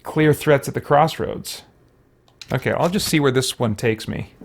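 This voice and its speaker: A man's voice: mid-range voice, moderate pace, vocal fry, slight background noise. A man giving casual let's-play commentary, thinking aloud in a tentative way as he goes. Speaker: gaming video host.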